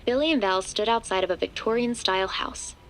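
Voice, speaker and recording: A woman's voice: medium pitch, a little fast, a bit bright, a little hiss or hum, lightly acted. A woman playing the composed narrator of a horror audio drama, reading scripted prose as a storyteller. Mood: composed, ominous.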